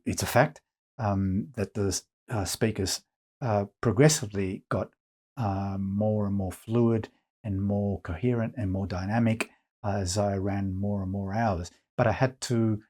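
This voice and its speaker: A man presenting an informal product review as a reviewer, speaking in a measured way with hesitant pauses between phrases. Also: lowish voice, mellow. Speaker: audio magazine editor and reviewer, presenter.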